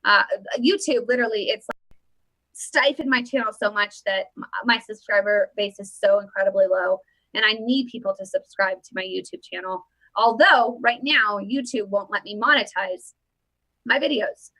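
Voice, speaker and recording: Woman, 30s, host, casual political commentary, rambling, webcam, bright, dry. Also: mid-range voice, normal speed, no echo, rough recording. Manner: rambling, many fillers and restarts.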